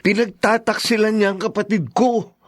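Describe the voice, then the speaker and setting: Man acting a high-voiced, indignant Filipino brother. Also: steady tone, normal speed, natural voice. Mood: accusing. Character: indignant, protective older brother. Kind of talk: Filipino radio drama acting.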